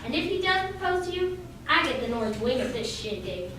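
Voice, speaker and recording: A woman's voice: high voice, big pitch swings, fairly quick. A woman playing a brash, gushing young party guest in a radio play, recorded in an echoey room. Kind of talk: amateur radio play acting. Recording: very echoey room, distant mic, noisy.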